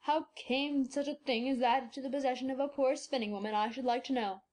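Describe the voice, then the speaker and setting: A woman voicing an excitable, zealous old male doctor who talks in eager, emphatic bursts. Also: high-pitched, brisk, close to an everyday voice. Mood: scornful. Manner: eager, emphatic, scornful outbursts.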